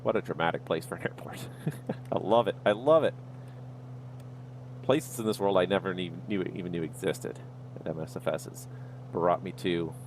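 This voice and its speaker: Low gravelly man calmly talking through a flight. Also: moderate pace, long pauses, a little hiss or hum, poor audio. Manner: easygoing, talks himself through each step. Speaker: flight sim streamer. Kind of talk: livestream commentary, thinking aloud.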